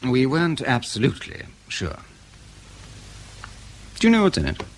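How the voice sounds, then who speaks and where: An actor playing a polite, evasive English intelligence interrogator in a radio drama, measured and officious with a steely edge. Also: male voice, mid-range voice, wildly swooping pitch, a little hiss or hum. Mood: probing.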